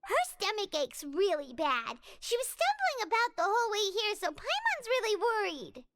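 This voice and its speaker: A woman's voice: very high voice, wildly swooping pitch, normal speed, crowd noise, full character voice. A woman voicing a tiny, childlike, outspoken and fretful sprite companion in a video game. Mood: worried. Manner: speaks of self in third person, blunt. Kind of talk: video game dialogue acting.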